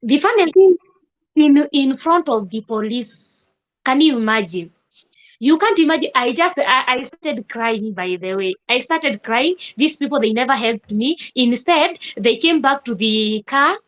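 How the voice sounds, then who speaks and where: A young Kenyan woman telling a personal story in a vlog, with animated emphasis and repeated rhetorical questions. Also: high-pitched, measured pace, low-quality recording. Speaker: vlogger.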